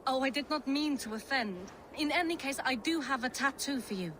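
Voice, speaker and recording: A woman's voice: high voice, lively intonation, a little fast, noisy background. An actress voicing an eager, polite young female Norse tattooist in a video game. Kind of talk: video game character acting.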